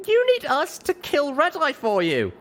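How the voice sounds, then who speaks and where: A man's voice: very high-pitched, huge pitch swings, unhurried, a bit bright, voice pushed up, full character voice. A man voicing a young female unicorn heroine who asks tense, pointed questions. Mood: wary.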